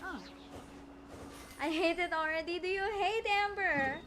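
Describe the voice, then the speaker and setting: A woman's voice: extremely high pitch, measured pace, fairly bright tone, faint music behind. A woman giving casual, playfully dramatic commentary as she streams a game. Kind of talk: casual live gaming commentary.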